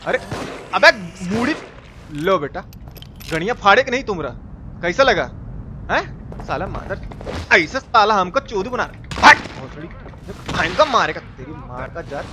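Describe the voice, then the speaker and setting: A man's voice: high voice, very animated, a little slow, long pauses. A man with a Bihari accent giving exclamatory, slangy, sweary live game commentary and greeting his chat. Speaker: game streamer. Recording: noisy, game sounds behind.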